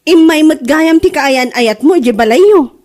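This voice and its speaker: A woman with an Ilocano Filipino accent playing a nosy, excitable young cousin in a comedy drama, exclaiming in a whiny, mocking way. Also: high voice, a little slow. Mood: amused apology.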